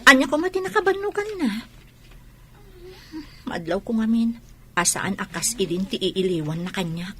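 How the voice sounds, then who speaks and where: Woman as a worried wife, middling pitch. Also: animated, moderate pace, long pauses, lightly acted. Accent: Ilocano. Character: worried, suspicious wife and patient mother. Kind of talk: Ilocano radio drama acting. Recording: faint background noise.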